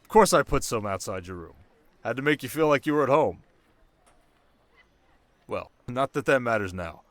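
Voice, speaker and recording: A man playing a young, sharp desert king in an intimate ASMR roleplay, teasing his new wife with measured, quietly commanding speech. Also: mid-range voice, expressive, dry recording, close to an everyday voice. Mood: playful, brisk.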